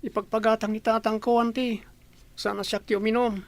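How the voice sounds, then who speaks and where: A woman's voice: on the high side, little pitch movement, brisk, natural voice. A woman with an Ilocano accent voicing a young village boy on an errand in a radio drama. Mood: polite. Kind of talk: Filipino radio drama acting.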